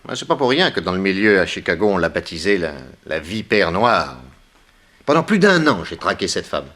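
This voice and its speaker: A sardonic detective, sharp-voiced. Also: male voice, low voice, big pitch swings, normal speed, heavily acted. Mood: incredulous. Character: sardonic, sceptical male detective, brisk and incredulous. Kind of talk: early 1960s French radio play acting.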